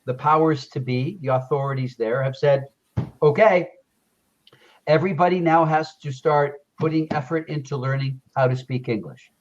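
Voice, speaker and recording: A man's voice: fairly high pitch, normal speed. Lo-fi man tutoring English. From Canada. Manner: encouraging, repeats phrases for emphasis. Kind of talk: casual conversation lesson. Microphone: video call. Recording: dull lo-fi video call audio.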